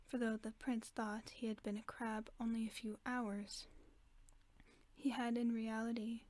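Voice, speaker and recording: A woman voicing a gentle, caring werewolf friend, reading a bedtime story in a soft, often whispered voice for a sleep-aid roleplay. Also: fairly high pitch, little pitch movement, close to an everyday voice. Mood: soothing. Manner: slow soothing bedtime reading.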